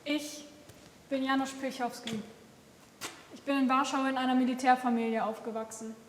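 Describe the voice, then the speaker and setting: A young woman voicing a fifteen-year-old Polish boy resistance fighter in a solemn, measured testimony reading. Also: sits high, fairly even intonation, slight background noise, natural voice. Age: young adult. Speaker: youth reader in a memorial collage. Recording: echoey hall recording.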